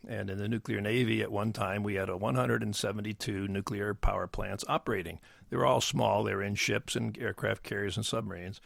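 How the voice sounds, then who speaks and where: An older man with a bass voice, explaining as an interview guest in a halting way with many fillers and restarts. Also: fairly even intonation, a bit bright, no echo. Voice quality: gravelly bass voice. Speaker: guest, nuclear engineer. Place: dry podcast studio. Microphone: studio mic.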